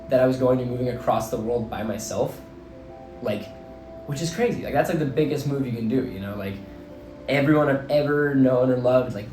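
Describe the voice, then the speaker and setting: A young man from New Jersey with a slightly hoarse voice rambles earnestly. He talks in an easy middle register, piling in "like" and "you know" as he thinks aloud. The room rings with echo and soft music plays faintly underneath. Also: medium pitch. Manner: rambling, filler-heavy, earnest. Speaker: vlogger and teacher. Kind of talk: casual reflective monologue.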